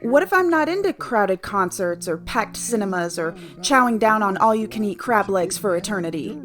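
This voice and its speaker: Animal-loving female veterinarian woman acting, theatrical and earnest, pitch swinging widely, music underneath. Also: lively intonation, moderate pace, a bit bright, close to an everyday voice. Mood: doubtful. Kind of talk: audio play acting.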